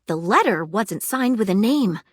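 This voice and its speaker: A woman's voice: mid-range voice, expressive, unhurried, no echo, clean studio sound. A woman playing a curious, precise female detective in a video game, articulate and inquisitive. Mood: businesslike, intrigued. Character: living magnifying glass detective woman, keen, curious, precise, flirtable. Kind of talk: video game character acting.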